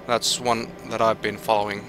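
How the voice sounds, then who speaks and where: A man's voice: on the low side, level tone, normal speed, music in the background, rough recording. A man with a Finnish accent streaming a flight simulator, thinking aloud and reading out weather and route figures with hesitant restarts. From Finland. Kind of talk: live gameplay commentary, thinking aloud.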